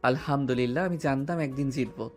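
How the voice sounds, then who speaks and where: A man acting a tender, earnest lover in a romantic audio drama, with soft music behind. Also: mid-range voice, brisk. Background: soft background music.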